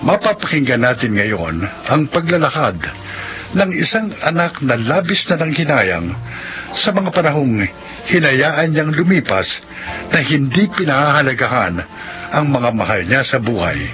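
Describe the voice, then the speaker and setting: A man announces a radio drama in formal, scripted style. His mid-range voice moves with dramatic, animated intonation over clearly audible music. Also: medium pitch, unhurried, lo-fi sound. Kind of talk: scripted narration.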